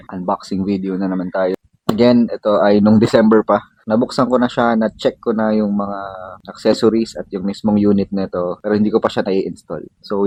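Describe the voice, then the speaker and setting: A man's voice: on the low side, warm tone, no room sound. A man with a Filipino accent giving a casual, informal unboxing demo as a vlogger, mixing in English slang.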